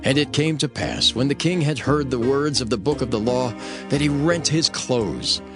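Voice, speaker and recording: A man narrating scripture for a dramatized audio production in a solemn, measured storytelling manner. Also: medium pitch, over music.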